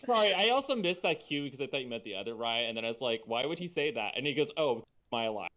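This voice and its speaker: A man's voice: mid-range voice, lively intonation, quick pace, crowd noise. A man co-hosting a comedy show with casual, incredulous, exaggerated banter. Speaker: podcast co-host.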